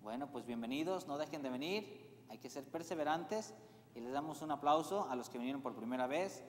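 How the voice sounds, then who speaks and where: A man's voice: fairly high pitch, animated, brisk. Man, priest, chatty announcements, roomy church echo, long reverb tail, faint hum. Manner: chatty, asks the congregation if they follow. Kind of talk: parish announcements at Mass. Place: large church. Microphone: far camera, church sound system.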